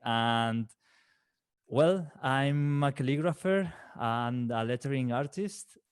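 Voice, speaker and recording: A man's voice: medium pitch, takes it slowly, clear, resonant tone. A man in his late thirties from Valencia, Spain, a native Valencian speaker, talking as a guest in a casual interview, often restarting his words and laughing. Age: 30s. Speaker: guest calligrapher.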